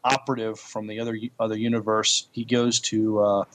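A man's voice: lowish voice, level tone, brisk. A man co-hosting a podcast, chatting casually about television and often hesitating as he searches for words. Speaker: podcast co-host. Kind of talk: casual TV review chat.

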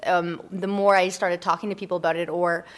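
A woman's voice: lowish voice, little pitch movement, a little fast. A young woman interview guest telling personal stories casually, in an informal, rambling way with lots of "like". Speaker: interview guest, founder of a volunteer project.

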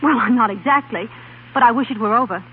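A woman's voice: on the high side, fairly quick, natural voice. An actress playing an anxious, defiant young wife separated from her husband, in a 1930s radio drama. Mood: nervous. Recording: tinny, faintly noisy 1930s radio broadcast.

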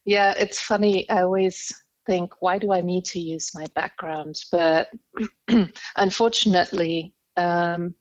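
Low-voiced woman, Indonesian first language. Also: steady tone, slow, dry recording. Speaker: interview guest, communication skills trainer. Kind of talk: podcast interview storytelling.

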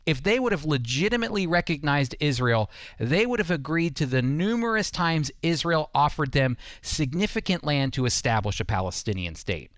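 A man's voice: on the high side, sing-song, normal speed, a bit bright, no room sound. Man teaching on radio, dry studio sound. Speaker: radio host and Bible teacher. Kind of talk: scripted radio teaching and commentary.

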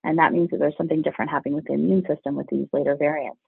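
A pediatrician answering interview questions with a hoarse, husky voice, earnest and explanatory. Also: male voice, a little high, flat delivery, very fast, no room sound. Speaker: pediatrician guest. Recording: tinny phone line, dry.

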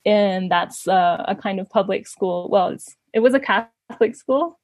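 A woman's voice: mid-range voice, measured pace, a little mellow, no echo. A woman telling personal stories casually, in the manner of an interview guest, often laughing as she talks. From born in Canada, grew up in Ecuador. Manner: giggly, laughs often while talking.

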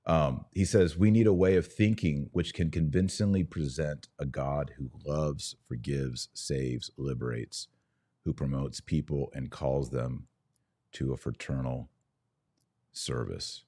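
Man, very low pitch, podcast host, religious commentary, emphatic, argumentative, conversational. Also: fairly even intonation, a little slow, warm tone. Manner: emphatic, argumentative, poses rhetorical questions.

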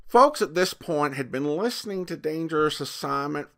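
A man hosting an informal commentary on vintage television, explaining in a measured, appreciative way. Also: on the high side, expressive, bright, forceful tone. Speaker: host.

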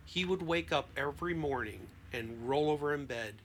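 A man who works as a therapist, talking conversationally as a podcast guest and telling anecdotes in an expressive, emphatic way. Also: a little high, normal speed, slight background noise. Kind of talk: conversational explanation with anecdotes and acted-out quotes.

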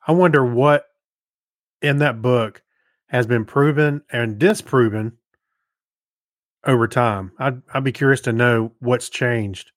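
A man co-hosting a podcast in casual chat, talking in an unhurried way. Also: mid-range voice, dry recording, clean studio sound. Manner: unhurried talker. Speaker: podcast co-host.

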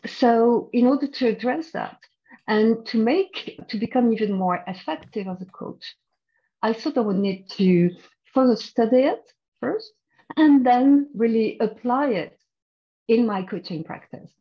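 A woman's voice: a little high, measured pace, highs cut off. A middle-aged woman with a French accent, a guest coach explaining her ideas thoughtfully in a podcast interview, often restarting her sentences. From France.

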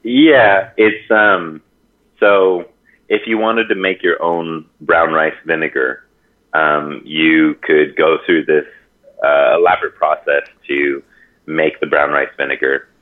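A man from Hawaii explaining things casually and haltingly, pausing often mid-sentence, over a call. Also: a bass voice, fairly even intonation. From Hawaii, United States. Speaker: interview guest, natural farming expert. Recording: narrow tinny phone-like line.

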